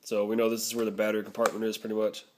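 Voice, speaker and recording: A man's voice: on the low side, monotone, rapid-fire, a little hiss or hum. A man narrating a casual product review, explaining things step by step.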